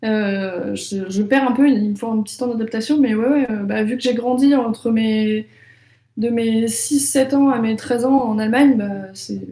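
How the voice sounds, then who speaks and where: A woman's voice: a little high, normal speed, dark tone, reverberant. A young French woman, an illustrator, chatting casually in an interview with many fillers and restarts. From France. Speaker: illustrator and author.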